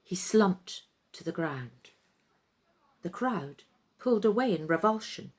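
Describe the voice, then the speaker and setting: Casting: female storyteller, theatrical and suspenseful, unhurried, with a very lively pitch range. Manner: theatrical, suspenseful, deliberate phrasing. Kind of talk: dramatic story reading.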